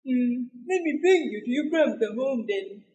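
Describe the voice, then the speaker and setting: A woman's voice: high voice, animated, normal speed, warm tone, in a large hall, lo-fi sound. Woman as male hunter, stern, protective, firm warning manner, fairy-tale storybook character.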